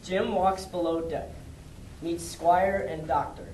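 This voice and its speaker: A man's voice: fairly high pitch, steady tone, slow, a little mellow, a little hiss or hum. A man narrating an amateur puppet show, reading stage directions in clipped phrases. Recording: echoey room, distant mic, music behind.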